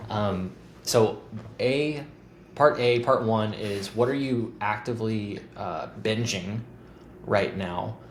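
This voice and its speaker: A man in his thirties hosting a podcast, asking rambling, easygoing questions with fillers. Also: fairly low pitch. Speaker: podcast host. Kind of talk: casual conversation. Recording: roomy with faint background noise.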